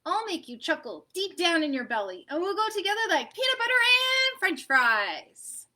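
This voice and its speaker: A hopeful little storybook boy made of peanut butter chants his rhyming plea in a high, sing-song voice, as a woman puts him on. The pitch leaps about and the tone stays bright and eager. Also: sing-song, normal speed, exaggerated voice.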